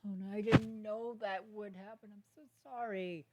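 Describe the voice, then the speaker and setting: A woman reads as a young mare unicorn from a cartoon fan story. She is a well-meaning troublemaker, pitched up and eager to explain herself. Her delivery is sheepish and apologetic, tripping over words. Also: fairly even intonation, moderate pace, very noisy, lightly acted. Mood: remorseful.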